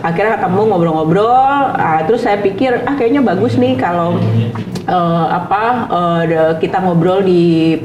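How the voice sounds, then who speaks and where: A woman's voice: lowish voice, normal speed. A woman hosting a podcast, chatting casually and laughing while she thinks aloud. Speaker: podcast host. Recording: echoey room with clear background noise.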